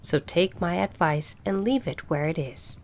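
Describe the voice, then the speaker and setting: A woman's voice: fairly low pitch, unhurried, slight background noise. A woman voicing a nosy, know-it-all old female duck in a storybook, opinionated and emphatic. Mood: knowing, insistent. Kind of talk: storybook character voice.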